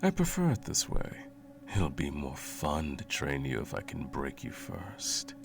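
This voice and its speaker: A man playing a sadistic sorcerer in an ASMR roleplay, cooing mockingly and condescendingly at a captive pet in a low gravelly murmur. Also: huge pitch swings, unhurried, over music, heavily acted. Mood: smug, teasing. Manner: teasing, cooing, condescending, theatrical.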